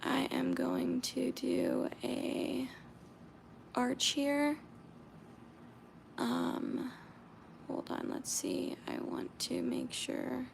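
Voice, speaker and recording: A young American woman from Georgia chatting casually with viewers on a painting livestream, reading their comments aloud and murmuring softly while she paints. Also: sits high, level tone, drawn-out words, gravelly, pauses often, a little hiss or hum, poor audio. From Georgia, USA.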